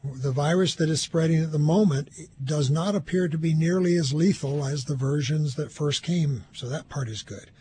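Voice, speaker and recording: Brief: male health expert, slightly high voice, measured conversational explainer, some background noise. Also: warm tone. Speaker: health expert on a radio call-in show.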